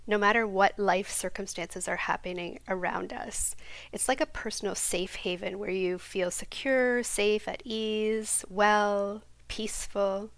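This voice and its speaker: Woman, meditation guide: calm, gentle, half-whispered delivery, close and dry. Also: medium pitch, dry recording. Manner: slow, soft and soothing, sometimes half-whispered. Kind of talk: guided yoga nidra meditation.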